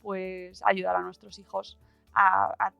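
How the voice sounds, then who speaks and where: A woman's voice: medium pitch, monotone, takes it slowly, creaky, smooth, no echo, highs cut off, faint music behind. A middle-aged woman with a Spain accent chatting casually and informally as a podcast host. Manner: chatty, informal, laughs often. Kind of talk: casual chat.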